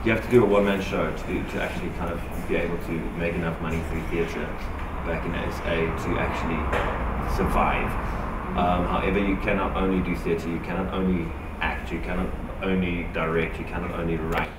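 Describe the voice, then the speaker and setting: A South African man with an Afrikaans accent, a native Afrikaans speaker, talking casually as an interview guest in an energetic, rambling way with many restarts. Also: deep voice, a little fast, very noisy. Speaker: actor and director guest.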